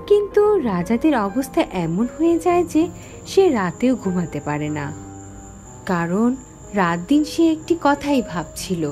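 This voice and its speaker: A woman's voice: a little high, darkish tone. A woman voicing a wistful young village woman, narrating a scripted cartoon story with dramatic expression. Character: Nina, wistful young village woman recalling lost childhood friend.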